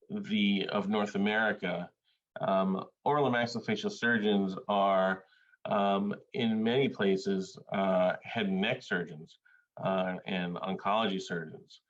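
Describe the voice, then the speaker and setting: A man originally from Bangladesh, a surgeon giving an academic panel presentation in a measured, hesitant way, with many filler sounds. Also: lowish voice, dull audio.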